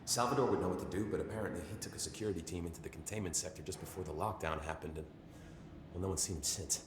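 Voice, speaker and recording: An actor playing a weary, sardonic security chief in a video game, speaking informally. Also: male voice, sits low, racing, reverberant, noisy background, natural voice. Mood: sardonic, resigned. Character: wry, weary male security chief under siege. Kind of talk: video game cutscene dialogue.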